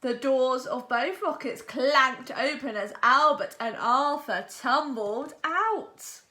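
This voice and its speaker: A woman reading a picture book aloud to children in an expressive, dramatic storytelling style. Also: sits high, slow. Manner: expressive, dramatic delivery with acted sound words.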